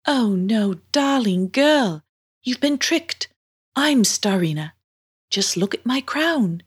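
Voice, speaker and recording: Woman as a gentle, breathy storybook mermaid. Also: medium pitch.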